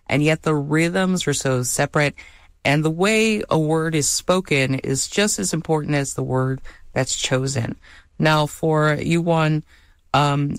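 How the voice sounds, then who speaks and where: A moderator whose first language is Spanish leads a panel with measured, deliberate questions. The voice sits in the middle range and sounds dry and close. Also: medium pitch, no room sound. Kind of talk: panel discussion.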